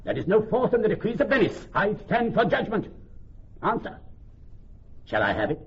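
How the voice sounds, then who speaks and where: An actor playing a vengeful, defiant moneylender in a Shakespearean radio drama, with theatrical, biting emphasis. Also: male voice, high-pitched, sing-song, normal speed, slightly rough, heavily acted. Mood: scornful, defiant. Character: vengeful, defiant male moneylender with bitter scorn. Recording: muffled, faintly noisy old broadcast recording.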